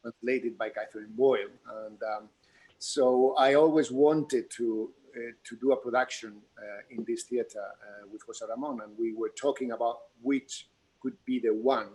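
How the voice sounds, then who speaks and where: A man's voice: medium pitch, steady tone, moderate pace, darkish tone, poor audio. A man with a Spanish accent, a theatre director answering in a Q&A in somewhat hesitant English. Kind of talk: Q&A discussion.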